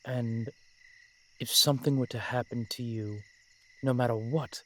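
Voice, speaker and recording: A man playing a brooding, protective vampire in an audio roleplay, speaking in formal, measured phrases with dramatic pauses. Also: mid-range voice, steady tone, lightly acted. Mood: tender, sorrowful. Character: brooding, protective male vampire, old-fashioned and frustrated. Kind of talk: scripted audio roleplay acting addressed to the listener.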